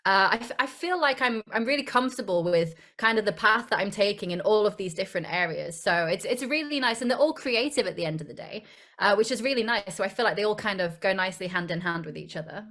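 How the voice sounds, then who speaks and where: A woman's voice: mid-range voice, fast, a bit bright. A young British actress, a guest in an interview, speaking fluently and enthusiastically, often repeating a word as she starts a thought. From United Kingdom.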